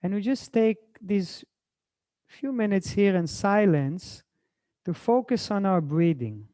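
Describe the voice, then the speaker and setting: Slow, mellow man, gentle spiritual teacher. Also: high-pitched, expressive, no echo, clean studio sound. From Israel. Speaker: swami, guest speaker and meditation guide. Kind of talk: gentle spiritual talk and guided meditation.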